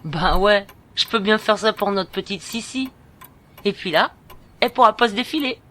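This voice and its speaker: A woman's voice: mid-range voice, normal speed, a bit bright, natural voice. A woman playing a caring, frank and bossy young student friend, coaxing bluntly in informal audio drama dialogue. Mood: upbeat, decided. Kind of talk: amateur French audio fiction dialogue.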